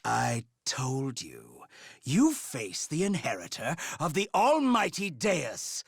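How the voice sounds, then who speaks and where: A man voicing a mocking, gleeful villain scholar in an anime fantasy game, with theatrical, drawn-out delivery. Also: mid-range voice, huge pitch swings, vocal fry, breathy edge, dry recording, clean studio sound, full character voice. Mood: smug.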